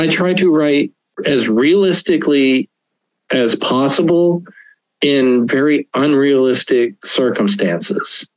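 A man's voice: mid-range voice, dry recording, low-quality recording. A man, an author being interviewed, talking casually with lots of fillers and the odd chuckle. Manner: rambling, chuckling, many fillers. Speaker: author, guest.

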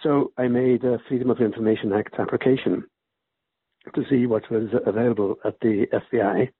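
Older man from Wales, emeritus professor type, husky voice, dry tinny call line, rambling answers. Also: medium pitch, fairly even intonation, brisk, dry recording. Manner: rambling, with restarts. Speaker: guest author. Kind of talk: interview over a call. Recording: muffled phone-like line, dry.

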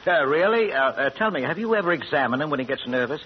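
Male actor as cultured gentleman criminal-doctor, unflappable, pompous, very animated delivery; dull vintage broadcast with faint noise. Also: fairly high pitch, quick pace, lightly acted. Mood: bluffing, inquisitive. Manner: precise educated diction, hesitant, politely pompous. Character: urbane scholarly doctor turned amateur burglar, curious and unflappable. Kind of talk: 1940s radio drama acting. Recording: muffled old radio broadcast, faint noise.

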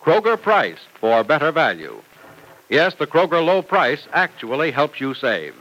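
A man's voice: fairly high pitch, sing-song, measured pace, fairly bright tone, rough recording. A man announcing in polished, emphatic 1940s radio style, with scripted narration and a salesman's delivery.

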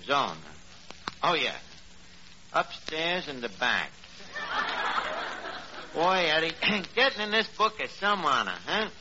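A muffled, noisy 1940s radio recording of a gullible New York barkeep. His voice sits fairly high and moves freely up and down. He talks in rough street grammar with pompous airs. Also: big pitch swings, slow, long pauses. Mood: earnest, proud. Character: gullible, self-important working-class New York barkeep Archie. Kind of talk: 1940s radio comedy acting.

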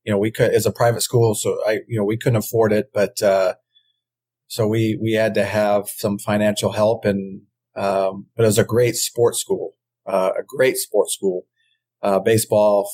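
A man in his forties from Southern California, answering questions as a guest in a casual podcast interview, rambling and halting with frequent restarts. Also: fairly low pitch, a little mellow, poor audio. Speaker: guest, former baseball pitcher.

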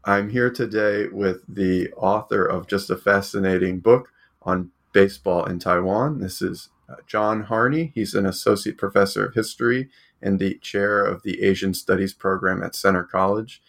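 A man's voice: sits low, normal speed, gravelly, fairly bright tone. A man hosting an academic book podcast from home, talking hesitantly with many fillers. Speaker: podcast host.

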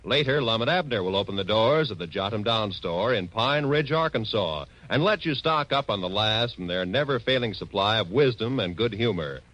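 A man's voice: on the low side, lively intonation, moderate pace, hardly any pauses, a bit bright, poor audio. A man with an American accent delivering formal, polished, scripted 1940s radio announcements.

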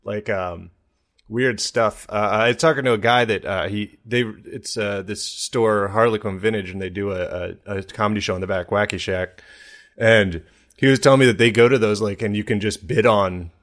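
A man's voice: on the low side, moderate pace, a bit bright. A man hosting a podcast in casual comedic chat, with a rambling, joking way of talking. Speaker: podcast host.

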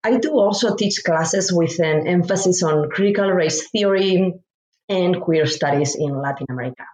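A woman from Spain, a professor giving measured, thoughtful interview answers and pausing to find her words. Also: fairly low pitch, a little mellow. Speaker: guest author, professor. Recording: roomy echo.

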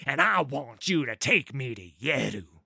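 A man's voice: mid-range voice, very animated, a little slow, creaky, sharp tone, breathy edge, dry recording, heavily acted. A man voicing a cheerful, blunt, hulking male troll king.

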